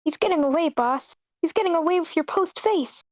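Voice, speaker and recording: Woman playing a high-voiced, eager henchman sidekick. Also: a little fast, no echo, lo-fi sound. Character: eager, simple-minded henchman sidekick, deferring to boss. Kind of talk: amateur script table read.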